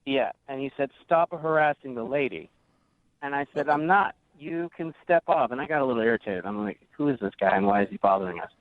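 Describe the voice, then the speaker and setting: A man's voice: medium pitch, expressive, a little fast, no room sound. A man calling a radio show over the phone, telling his story in a casual conversational way. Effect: phone line. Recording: dry, narrow phone line.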